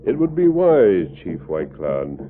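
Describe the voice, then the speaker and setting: An actor playing a commanding, righteous masked western hero, with formal, declamatory phrasing in old radio style. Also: male voice, sits low, huge pitch swings, unhurried, heavily acted. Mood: firm. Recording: narrow-band old radio recording, faint music.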